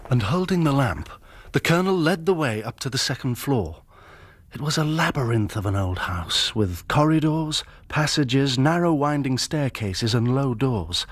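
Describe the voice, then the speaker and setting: Man playing young british engineer in radio drama, polite but uneasy, husky voice, lots of up and down. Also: mid-range voice, normal speed, close to an everyday voice. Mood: uneasy recollection. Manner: polite, well-spoken, expressive. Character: young male hydraulic engineer, earnest, inquisitive, uneasy. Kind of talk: radio drama acting and first-person narration.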